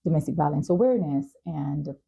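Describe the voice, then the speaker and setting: A woman hosting a podcast interview, speaking in an earnest, measured, reflective way with frequent pauses. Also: low voice, animated, creaky, slightly rough, lo-fi sound. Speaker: talk show host and advocate.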